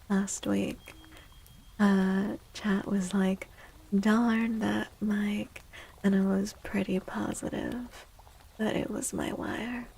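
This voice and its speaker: A woman streamer chatting in soft-spoken ASMR style, gentle and unhurried, often slipping into a whisper. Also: mid-range voice, creaky, breathy edge, rough recording. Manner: unhurried, gentle, pausing between short phrases. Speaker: livestream host.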